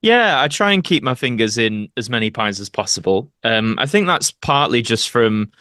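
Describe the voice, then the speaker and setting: A man's voice: mid-range voice, very animated, normal speed, bright, forceful tone, no echo. Young British man, close dry sound. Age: young adult. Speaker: podcast guest, actor and YouTuber. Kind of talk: casual interview chat.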